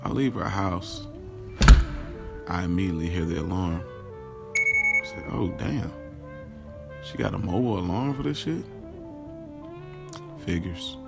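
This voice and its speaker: Audio drama lead, played by a man: lovestruck, boastful, self-doubting guy. Very low, creaky voice, slangy first-person monologue, talks to listeners like friends. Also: unhurried, pauses often, over music. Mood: wry. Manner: casual, slangy, confiding, addresses listeners directly. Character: smitten, boastful, self-questioning man recounting his love life.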